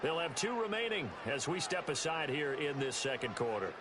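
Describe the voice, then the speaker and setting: A man's voice: on the high side, sing-song, normal speed. A man with an American accent calling football plays as a play-by-play announcer in a video game. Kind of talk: live-style American football commentary. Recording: loud stadium noise behind the voice. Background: stadium game ambience.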